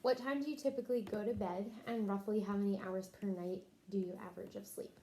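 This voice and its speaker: A woman's voice: medium pitch, steady tone, fast talker, mellow, a little hiss or hum, poor audio. A woman interviewing someone for a health history, working methodically through prepared questions and briefly acknowledging answers. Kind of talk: scripted clinical questionnaire interview.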